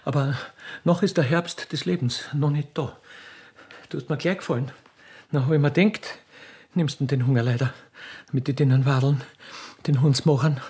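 A man's voice: mid-range voice, steady tone, a little mellow, husky. Man playing a blunt, coquettish Viennese widow. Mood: indignant, coaxing. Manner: folksy, halting, insistent declarations. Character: mature, rich, wooing Viennese butcher's widow, blunt and coquettish. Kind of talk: theatrical comic radio-play acting.

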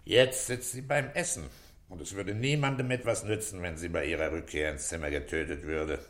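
Deep-voiced, fatherly police inspector. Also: fairly even intonation, fairly bright tone. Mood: patient, coaxing. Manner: unhurried, soothing, coaxing. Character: fatherly pipe-smoking police inspector, calm, patient, kindly coaxing. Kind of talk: German radio drama acting.

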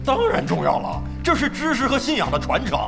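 A man's voice: extremely high pitch, huge pitch swings, brisk, gravelly, exaggerated voice. A man playing a brash, boastful veteran gamer in a radio drama, blustering, theatrical and crude. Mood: pompous. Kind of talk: Chinese radio drama acting. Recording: music behind the voice.

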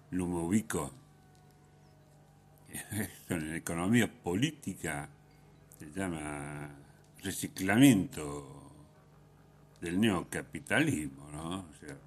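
Slow, very deep-voiced Argentine man. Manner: colloquial, sardonic, thinking aloud. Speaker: radio host. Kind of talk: casual rambling radio monologue.

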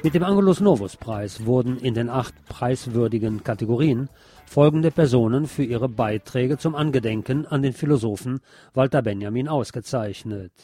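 A man presents a scripted cultural report in the role of radio host. His voice is a little husky and sits at a medium pitch. The sound is dry and close, without room reflections. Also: warm tone, no room sound.

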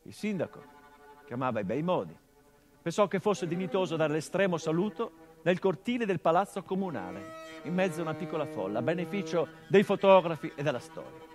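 An actor playing a grown son who speaks to his murdered father in a theatrical monologue, quiet and reflective, with flashes of bitter emphasis. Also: fairly high pitch, sing-song, a little fast, soft background music. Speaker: stage actor in a radio play.

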